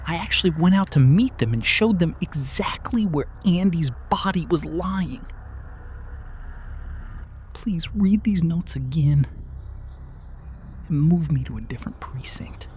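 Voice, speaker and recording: A woman's voice: deep voice, lively intonation, a little slow, long pauses, darkish tone, husky. A woman narrating a horror story in a pleading, fearful, often whispered voice.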